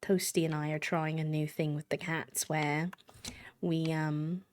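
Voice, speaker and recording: A woman's voice: deep voice, flat delivery, unhurried, a little mellow. An American woman chatting casually on a game stream in a rambling, self-deprecating way. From United States. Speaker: live streamer.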